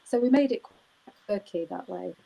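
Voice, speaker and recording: A woman's voice: mid-range voice, animated, normal speed, creaky, dark tone, husky, poor audio. A woman celebrant answering interview questions, explaining at length with frequent "um" and "you know" fillers. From United Kingdom. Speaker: wedding celebrant. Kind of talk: live chat interview.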